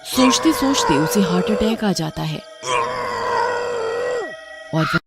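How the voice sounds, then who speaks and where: A woman's voice: medium pitch, expressive, takes it slowly, creaky, loud music behind the voice. A woman narrator reading a scripted story.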